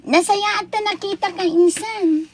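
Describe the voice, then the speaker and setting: A woman playing a shy, soft-hearted young woman in an Ilocano audio drama, hesitant and meek, with an Ilocano accent. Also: very high voice, steady tone, unhurried, a little hiss or hum. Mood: pleading.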